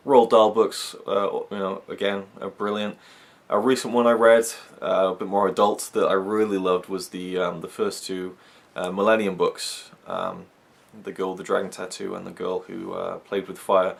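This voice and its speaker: Low gravelly young Welsh man. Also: level tone, normal speed. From Wales. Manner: rambling, chatty, many fillers. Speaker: YouTube reviewer. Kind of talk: casual chat to camera.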